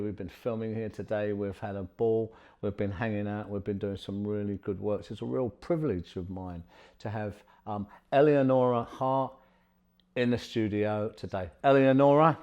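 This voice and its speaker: A man's voice: on the low side, a little mellow. A middle-aged man hosting an interview in a studio, talking effusively and rambling with many fillers. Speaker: podcast host.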